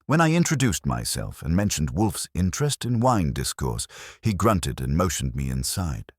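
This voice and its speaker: Very low male voice, wry story narration, lively intonation, dry close sound. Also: normal speed, slightly rough, dry recording. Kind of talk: scripted first-person detective story narration.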